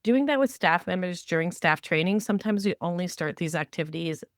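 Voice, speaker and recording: A woman with a fairly low voice talks as a podcast co-host, recorded very dry with hardly any room sound. She gives advice conversationally, with enthusiasm and emphasis, slipping into quoted remarks as she explains. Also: animated, fairly quick, dry recording.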